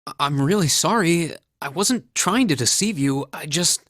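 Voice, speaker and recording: A man's voice: fairly high pitch, animated, moderate pace, a bit bright, no echo, clean studio sound. An actor playing an earnest, easygoing young male academy student in a video game, polite and friendly. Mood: apologetic. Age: young adult. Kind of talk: English anime-style game voice acting.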